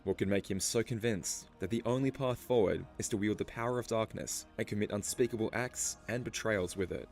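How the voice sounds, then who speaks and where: A man narrating a scripted story explainer in a measured, musing way, posing rhetorical questions. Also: lowish voice, darkish tone. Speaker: video essay narrator. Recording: music under the voice. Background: background music.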